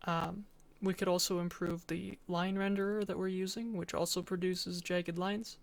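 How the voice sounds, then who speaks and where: A woman's voice: fairly low pitch, fairly even intonation, moderate pace, vocal fry. A woman giving an informal, matter-of-fact presentation as a student, explaining and narrating a game demo.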